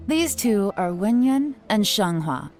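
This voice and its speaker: A woman voicing a cool, shrewd young intelligence chief in a video game, speaking in a measured, confident, instructive way. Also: mid-range voice, big pitch swings, over music. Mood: composed. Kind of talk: video game character voice acting.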